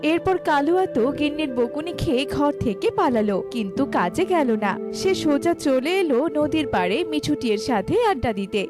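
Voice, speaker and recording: A woman's voice: sits high, expressive, brisk, hardly any pauses. A woman narrator reading a scripted children's story. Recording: music behind the voice.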